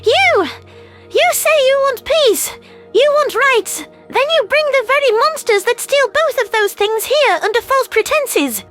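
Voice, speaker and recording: An enraged alien bird diplomat, screeching at the very top of her voice and hurling accusations, with soft music running underneath. The delivery is loud and shrill throughout, pitched sky-high for an audio drama. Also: animated, a little slow, exaggerated voice. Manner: screaming, accusatory. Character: furious female avian alien ambassador.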